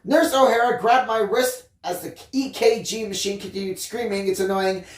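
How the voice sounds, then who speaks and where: A storyteller reading a first-person tale expressively, with theatrical emphasis and drawn-out phrases. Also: mid-range voice, fairly even intonation, roomy sound. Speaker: audiobook reader, story time host.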